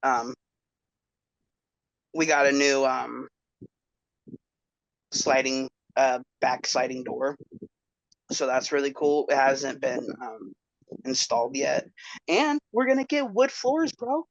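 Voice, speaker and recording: A man's voice: medium pitch, takes it slowly, creaky, pauses often, dry recording, dull audio. A man from Northern California chatting on a podcast, rambling with lots of fillers and restarts. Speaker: podcast co-host. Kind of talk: casual chat.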